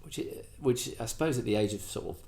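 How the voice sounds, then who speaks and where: A man's voice: medium pitch, fast, vocal fry, warm tone. A middle-aged man from England hosting a casual request show, rambling and self-deprecating, full of fillers and restarts. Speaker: host. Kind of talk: casual chat.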